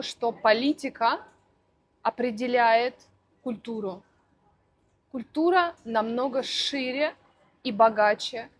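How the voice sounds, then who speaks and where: A woman language teacher answering interview questions for learners, speaking slowly and deliberately with careful articulation. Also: fairly high pitch, rough recording. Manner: slow, deliberate, clearly articulated.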